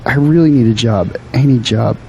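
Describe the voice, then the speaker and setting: A man's voice: mid-range voice, normal speed, creaky, mellow, highs cut off, slight background noise, close to an everyday voice. An actor playing an earnest, desperate young man, pleading politely in an audio drama. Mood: anxious pleading. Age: young adult.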